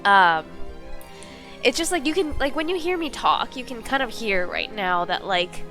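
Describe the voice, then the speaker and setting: A woman's voice: fairly high pitch, animated, normal speed, bright, forceful tone. A woman with a cold talks loosely on a live stream, her voice thick and stuffy. She rambles along with plenty of ums and likes. Faint music plays behind her. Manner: chatty, rambling, many fillers. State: congested, slight cold. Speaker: streamer playing a visual novel. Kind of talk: casual live-stream chat.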